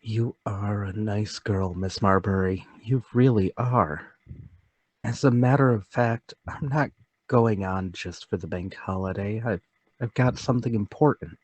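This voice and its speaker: Radio play: male actor as meek, nervous male lodger, low register, hesitant and courteous, dry close sound. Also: measured pace, no room sound. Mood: nervous, polite. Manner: hesitant, repeating himself, polite. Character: timid, nervous middle-class man lodger, secretly excited. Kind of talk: radio-play table read.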